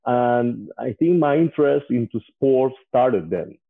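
A man in his forties from Spain, an English speaker who pauses often with "uh" as an interview guest. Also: medium pitch, animated, creaky. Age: 40s. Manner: hesitant, frequent "uh" fillers. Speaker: guest, investor. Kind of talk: casual interview. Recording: tinny phone-like line.

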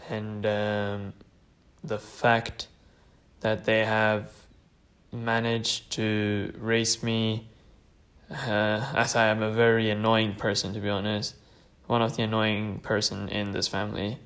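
A man's voice: on the low side, a bit bright. A man in his late teens from Norway, tired late at night, rambling hesitantly as he tells his own story on a solo podcast. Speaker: podcast host.

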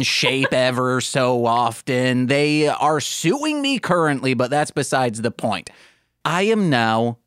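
A man's voice: a little high, fairly bright tone, clear, resonant tone, dry recording, clean studio sound. A man co-hosting a comedy podcast, riffing casually in a goofy, rambling storytelling way. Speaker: podcast co-host.

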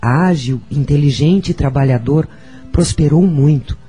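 An actress playing a gentle, motherly Brazilian woman spirit, reflecting solemnly in a radio drama monologue. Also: sits low, a little slow. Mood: reminiscing. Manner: solemn, reminiscing. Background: background music.

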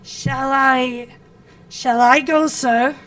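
An actor playing a meek, hesitant butler in a radio drama, deferential and halting. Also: male voice, very high voice, steady tone, bright, forceful tone, exaggerated voice. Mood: nervous.

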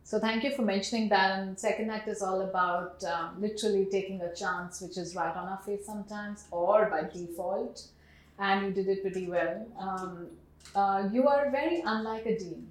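A woman's voice: medium pitch, steady tone, normal speed. A woman hosting an interview show, chatty and effusive, with many fillers. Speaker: show host. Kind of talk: interview conversation. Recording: roomy room sound.

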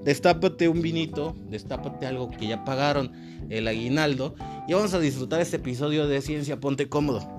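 A man's voice: a little high, moderate pace, loud music behind the voice. A man with a Mexican accent hosting a casual solo podcast, chatty and informal, with frequent fillers and restarts. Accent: Mexican Spanish. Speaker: podcast host.

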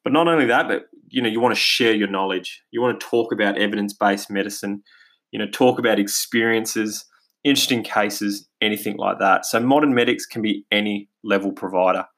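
A man's voice: lowish voice, fairly quick, a bit bright. A middle-aged Australian man hosting his own podcast in a casual, eager monologue, with plenty of fillers and restarts, on basic home recording gear. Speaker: podcast host. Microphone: home podcast mic, basic quality.

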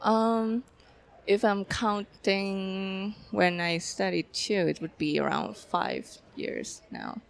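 Thai woman, halting casual talk. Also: medium pitch, big pitch swings, gravelly. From Thailand. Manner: halting, many fillers, laughs often. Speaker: film director guest. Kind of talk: casual interview.

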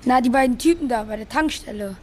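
A woman's voice: a little high, quick pace, close to an everyday voice. A young schoolgirl playing an onlooker and narrator in a school radio play. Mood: curious. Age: child or preteen.

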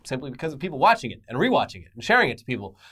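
A man's voice: medium pitch, big pitch swings, rapid-fire, bright, forceful tone, husky. A man co-hosting an entertainment news show with semi-scripted commentary and casual banter, emphatic, irreverent and sarcastic.

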